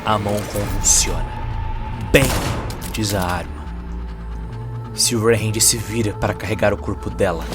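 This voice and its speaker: A man giving dramatic scripted narration of a cyberpunk story as its narrator. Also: lowish voice. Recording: music behind the voice.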